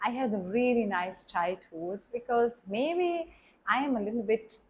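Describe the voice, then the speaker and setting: A woman's voice: on the high side, unhurried, a little mellow. A middle-aged woman from Hungary with a Hungarian accent, a German teacher chatting as a podcast guest, laughing often and phrasing things in a slightly non-native way. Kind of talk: casual interview chat. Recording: faint background noise.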